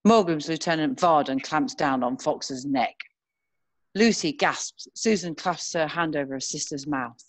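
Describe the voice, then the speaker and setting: A woman's voice: deep voice, measured pace. A woman narrator reading scene descriptions as scripted screenplay narration.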